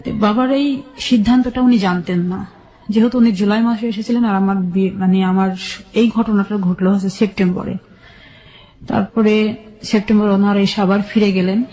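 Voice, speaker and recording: A woman with a Bangladeshi accent telling her personal life story as a radio guest, recalling it haltingly in short phrases. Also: mid-range voice, fairly even intonation, dark tone, poor audio.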